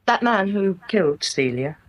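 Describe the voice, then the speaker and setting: An actress with an English accent playing a composed, guarded married daughter in a radio crime drama, with a cool, formal, wry delivery. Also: female voice, mid-range voice, animated, normal speed, close to an everyday voice. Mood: troubled, confiding.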